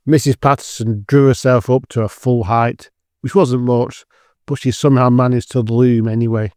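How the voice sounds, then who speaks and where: A man's voice: mid-range voice, steady tone, normal speed, darkish tone, dry recording. Man narrating an audio drama, dry studio sound.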